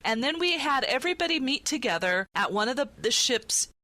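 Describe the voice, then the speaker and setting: Woman hosting a podcast, just chatting, bright kinda punchy voice. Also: high voice, very animated, brisk, no room sound. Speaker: podcast host. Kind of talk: casual chat.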